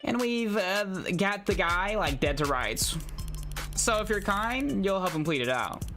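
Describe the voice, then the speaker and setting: Man voicing a higher-pitched, sardonic cop. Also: sing-song, measured pace, music in the background, full character voice. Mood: sardonic warning. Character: brusque, condescending police officer in a detective game.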